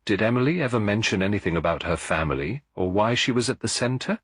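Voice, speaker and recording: A man voicing a gentle but firm elderly lady detective in a storybook mystery, polite and probing. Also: on the low side, lively intonation, fast, slightly rough, no room sound, studio quality, pitched above the performer's own voice.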